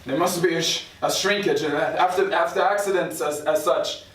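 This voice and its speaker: A bewildered, folksy patient, played by a man in a fairly high male voice, stumbles and repeats himself in halting confusion. The recording is distant and echoey. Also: fairly even intonation, fairly bright tone, close to an everyday voice. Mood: dazed. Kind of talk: script table read. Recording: echoey room from far off, noisy.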